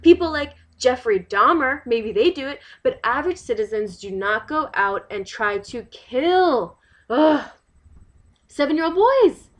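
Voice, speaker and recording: A woman's voice: fairly high pitch, lively intonation, moderate pace, natural voice. A woman performing a dramatic monologue as an indignant, worried New York mother, emphatic and exasperated, firing rhetorical questions. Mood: outraged. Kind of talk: dramatic monologue, testimonial to a listener.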